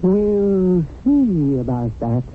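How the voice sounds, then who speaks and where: An actor playing a mild, earnest guardian angel in a 1970s radio drama, hesitant and a little defensive. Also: male voice, high-pitched, very animated, drawn-out words, a little hiss or hum, exaggerated voice. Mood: firm, wary. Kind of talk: 1970s radio drama acting and first-person narration. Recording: tinny vintage radio broadcast.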